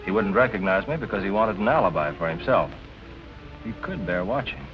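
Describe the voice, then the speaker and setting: Deep-voiced, earnest man in film noir. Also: expressive, brisk, highs cut off. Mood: concerned. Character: earnest, defensive husband suspected of murder in film noir. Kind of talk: 1940s film noir acting. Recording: old film soundtrack with music behind.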